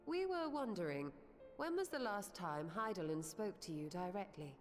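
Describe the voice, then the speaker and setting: A woman voicing a composed, grave female sage in a fantasy video game, with formal, deliberate and exacting speech. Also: medium pitch, sing-song, faint music behind, lightly acted. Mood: grave, probing.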